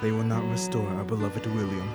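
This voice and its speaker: A man's voice: lowish voice, little pitch movement, a little fast, natural voice. Young actor as a solemn grieving father, music beneath. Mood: solemn grief. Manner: formal, solemn. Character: grieving older father writing a solemn letter, tender. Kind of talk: dramatic reading of a letter in a radio play.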